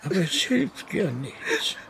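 Brief: patient, soothing bereaved man in a radio play, heavy vocal fry, swooping intonation. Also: sits high, big pitch swings, takes it slowly, a bit bright, slight background noise, full character voice. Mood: consoling. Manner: soothing, pragmatic. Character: patient, consoling bereaved man clearing family home. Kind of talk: radio crime drama acting.

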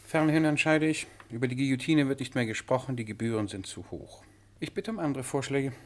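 A man's voice: mid-range voice, fairly quick, close to an everyday voice. An actor playing a pompous, thrifty king in a German radio play, grand and condescendingly jovial in manner. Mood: imperious, jovial. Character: pompous, thrifty male monarch of a tiny state.

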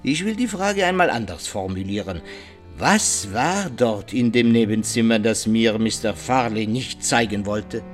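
A man playing a shrewd, self-assured middle-aged detective in a radio crime drama, explaining deliberately with rhetorical, suspenseful questions. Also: on the low side, animated, soft background music. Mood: measured, intriguing.

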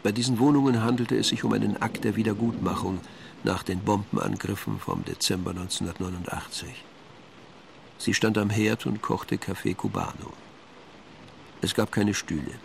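An actor as the detached narrator of a German spy radio play, delivering terse, measured scripted storytelling. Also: male voice, on the low side, expressive, creaky, slight background noise.

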